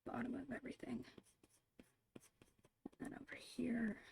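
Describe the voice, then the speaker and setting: A woman murmuring casual ASMR painting commentary in a whispery, creaky voice, unhurried and thinking aloud, close to the mic. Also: a little high, steady tone, darkish tone, slight background noise. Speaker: ASMR digital art streamer. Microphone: close microphone, dry.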